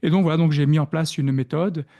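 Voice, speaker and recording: Man, Belgian French accent, mellow warm tone, slightly high voice, reflective, hesitant podcast guest. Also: fairly even intonation, a little fast. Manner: reflective, hesitant with frequent fillers. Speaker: productivity coach, guest. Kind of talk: podcast interview.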